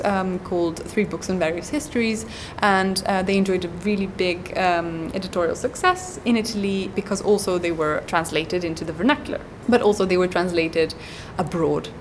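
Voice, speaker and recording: A woman guest on an academic interview, explaining at length and pausing often with ums and uhs. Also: lowish voice, background noise. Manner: hesitant, many ums and uhs. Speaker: guest scholar.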